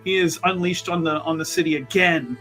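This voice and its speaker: A man with a voice a bit higher than most chats as a tabletop player. His phrasing is wordy and playfully formal, and he hesitates often as he builds each sentence. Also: brisk, a bit bright, soft background music. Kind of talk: casual character introduction.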